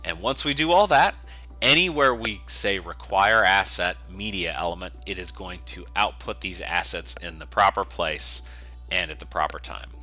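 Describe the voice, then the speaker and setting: A man's voice: sits low, animated, normal speed, pushed and bright, faint music behind. A man giving a coding tutorial, explaining each step casually and methodically in an unscripted screencast style. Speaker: software developer giving a tutorial.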